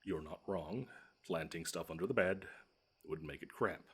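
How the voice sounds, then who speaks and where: Audio drama: pompous, grumbling male bed monster, man performing, low rough register, sardonic and menacing. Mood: dry, scheming. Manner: pompous, wordy, drawn-out menace with dry sarcasm. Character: grumpy, pompous male monster lurking under a child's bed. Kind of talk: scripted audio roleplay monologue.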